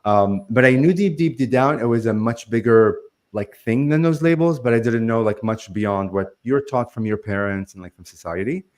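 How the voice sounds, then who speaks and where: A man's voice: mid-range voice, normal speed, darkish tone. A man, a guest on a remote call, talking earnestly and loosely with many "like"s and "um"s. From Lebanon. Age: 40s. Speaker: guest, spiritual channel. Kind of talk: conversational interview.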